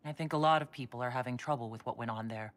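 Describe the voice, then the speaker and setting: A tall, horned female hero of a fantasy video game. She is composed and speaks plainly and in a measured way. A gentle wryness runs through her lines. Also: rumbling low. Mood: calm, curious.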